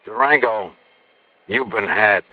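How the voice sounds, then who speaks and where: An actor playing a wry, quick-witted American investigator, both narrating and acting in 1950s noir radio style. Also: male voice, on the low side. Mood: wry, serious. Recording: noisy, dull vintage radio recording.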